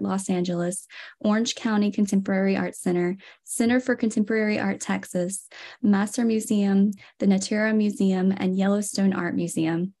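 A woman's voice: medium pitch, warm tone. A woman in her thirties, an enthusiastic host introducing artists on a video call from a room at home. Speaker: gallery curator and host. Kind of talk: welcoming introduction, reading artist bios.

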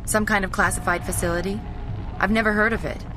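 A woman's voice: mid-range voice, steady tone, fast, close to an everyday voice. An actress playing an anxious, stubborn young woman in a video game, pleading and insistent. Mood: wary. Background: music.

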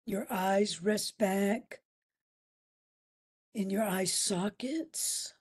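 Female meditation instructor, very unhurried, mellow and slightly husky, soothing delivery with spacious pauses. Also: medium pitch. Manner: soothing, unhurried, with long pauses between short phrases. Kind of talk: guided meditation.

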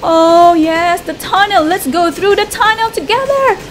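Very high-pitched woman reading to toddlers. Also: unhurried, very noisy. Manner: sing-song, animated, simple repetition with questions to children. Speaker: children's teacher and read-aloud host. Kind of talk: interactive picture-book read-aloud for toddlers. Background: background music.

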